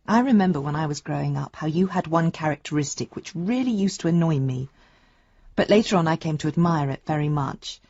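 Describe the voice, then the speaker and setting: A woman's voice: fairly low pitch, warm tone, breathy edge, no room sound, dull audio. An actress playing a candid, affectionate grown daughter in a radio drama, speaking a letter to her father in an intimate, reflective way. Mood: worried.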